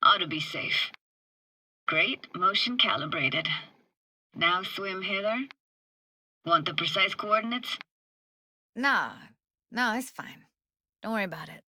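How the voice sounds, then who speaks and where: A woman's voice: medium pitch, animated, slow, long pauses, pushed and bright, heavily acted. A woman voicing a young, streetwise techie in a video game, blunt and guarded with tenderness underneath, speaking in casual, clipped slang. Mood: upbeat, focused. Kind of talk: naturalistic video game voice acting.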